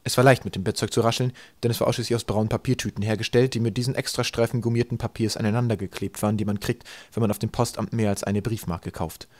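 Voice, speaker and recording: A man reading a comic story as an audiobook narrator, in a dry, deliberate storytelling manner. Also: fairly low pitch, fairly even intonation, darkish tone, no echo. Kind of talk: scripted literary narration, storytelling.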